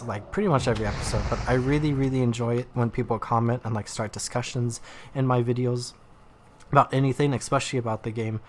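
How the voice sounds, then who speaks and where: A man with a slightly nasal, stuffy voice talks through his gameplay in a loose, rambling way, leaning on filler words as he goes. His pitch sits in a middling range. A faint noise floor hangs under the recording. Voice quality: nasal from allergies. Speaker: gaming YouTuber. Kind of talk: casual let's play commentary.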